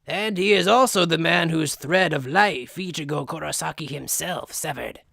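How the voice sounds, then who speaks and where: An eccentric mad scientist for an anime dub, pitched high and recorded close and dry. He is haughty and theatrical, savoring his words with sinister glee and a creaky edge. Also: normal speed, pushed and bright, no echo, full character voice. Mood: haughty, condescending. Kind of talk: anime fan dub acting.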